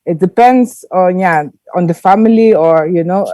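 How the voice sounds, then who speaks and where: A woman on a discussion panel, speaking hesitantly with many pauses and fillers. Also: on the low side, dark tone, no echo. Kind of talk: casual discussion.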